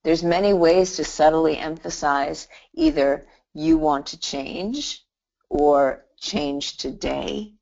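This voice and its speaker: A woman's voice: deep voice, gravelly, a little mellow, dull audio. A middle-aged woman teaching hypnotherapy on a live online call, telling stories in a chatty way with many restarts and "you know". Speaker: hypnotherapy instructor.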